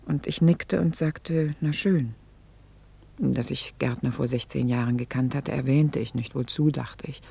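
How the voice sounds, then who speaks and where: An actress playing a reflective, vain middle-aged wife in a radio play, hesitant and confiding, with dramatic pauses and breathy near-whispers. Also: female voice, very deep voice, warm tone, exaggerated voice. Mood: wistful, reflective. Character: reflective, vain, self-reproaching middle-aged wife confessing her memories. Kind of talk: intimate German radio play monologue.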